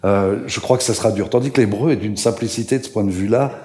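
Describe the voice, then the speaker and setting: Casting: older male panel guest, very low voice, earnest and halting. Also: darkish tone. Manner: earnest, halting, frequent fillers. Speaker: author guest. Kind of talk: panel conversation.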